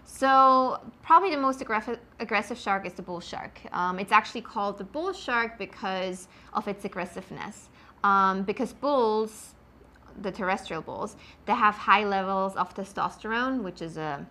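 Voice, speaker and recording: Swiss accent lady scientist, bright voice, eager explaining, lots of ums. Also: medium pitch. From Switzerland. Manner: enthusiastic, explanatory, many ums. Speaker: marine biologist guest. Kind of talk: interview answers.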